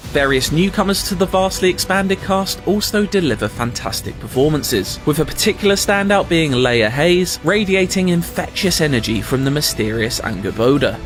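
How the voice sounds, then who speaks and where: A man narrates a scripted game review over light music. His voice sits a little high and moves in a lively way, with polished, emphatic phrasing. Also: normal speed, hardly any pauses. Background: light background music.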